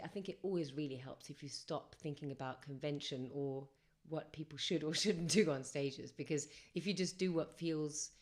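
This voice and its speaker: Low-voiced woman, chatty guest. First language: English first language. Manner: chatty, reflective, rambling with fillers and self-corrections. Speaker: singer-songwriter guest. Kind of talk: casual podcast interview storytelling.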